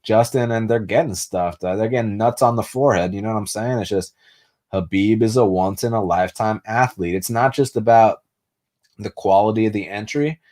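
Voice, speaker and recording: Young man, stream host, lowish voice, casual, swears a lot, lots of "uh" and "like", raised speaking Spanish first. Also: normal speed, a little mellow. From United States. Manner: loose, profane, full of fillers and restarts. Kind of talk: casual live-stream chat answering viewers.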